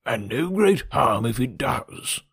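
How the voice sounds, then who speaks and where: A man voicing a gentle, fretful old gentleman, an anxious father in a classic novel, with a fussy, mournful, deliberate manner. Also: mid-range voice, very animated, gravelly, slightly rough, no echo, studio quality, heavily acted. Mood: mild disapproval. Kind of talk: audiobook character voice from a classic novel.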